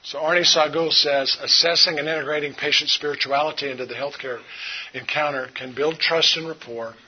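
A man's voice: on the high side, husky. A man giving an earnest, exhorting faith talk to a conference of healthcare workers, from a podium mic. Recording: muffled lo-fi talk recording with hiss.

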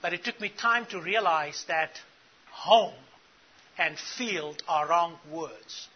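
Middle-aged guy giving a church talk, kinda husky, dull lo-fi sound. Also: on the high side, slow pace. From South Africa. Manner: earnest, asks the audience questions. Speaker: conference speaker. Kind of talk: teaching talk to church leaders.

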